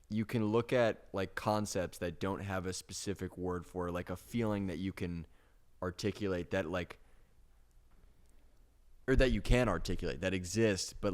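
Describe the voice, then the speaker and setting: Brief: twenties man, deep voice, chatty co-host, laughs a lot, circles his points. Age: 20s. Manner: laughs often, rambling, argues with restarts. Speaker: podcast co-host. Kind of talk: casual comedic chat.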